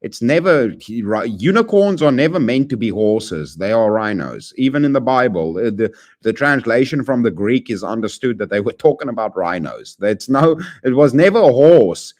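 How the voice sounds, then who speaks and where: A man chatting casually on a live stream, talking to his chat in a rambling way that swings from loud to mumbled. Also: mid-range voice, lively intonation, normal speed.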